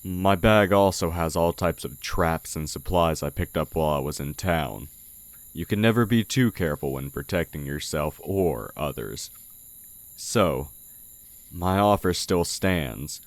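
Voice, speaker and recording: Man playing a very deep, slow-talking sly demon blacksmith. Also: lively intonation, dry recording. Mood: calm, knowing. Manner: slow, measured, condescending. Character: sly male oni demon posing as a humble village blacksmith. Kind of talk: audio roleplay, intimate character acting. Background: night forest ambience with crickets.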